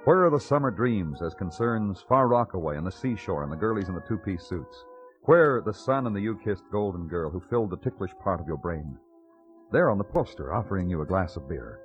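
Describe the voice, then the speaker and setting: An actor playing a brooding, world-weary police detective in 1950s noir radio style, terse and given to poetic phrasing. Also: male voice, low-pitched, expressive, a little fast. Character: weary, hard-boiled male police detective, brooding and curt. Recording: muffled old radio recording with music.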